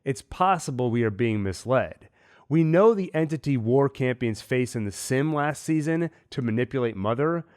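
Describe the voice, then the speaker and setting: A man hosting a TV recap, talking with a cold in a measured, analytical way. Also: medium pitch, lively intonation, darkish tone. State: has a cold. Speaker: host. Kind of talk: TV episode recap and theories.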